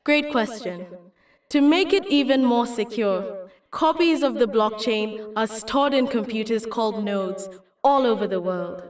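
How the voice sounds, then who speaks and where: A woman's voice: a little high, lively intonation, a little slow, roomy sound, distant mic. A woman playing an earnest, idealistic young Kenyan activist in a dramatic motion comic, explaining and persuading. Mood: earnest, explanatory.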